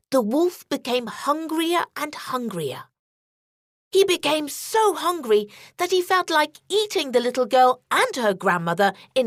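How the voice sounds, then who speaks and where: A woman narrating a scripted children's story in an expressive, deliberate way. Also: high-pitched, no room sound, clean studio sound.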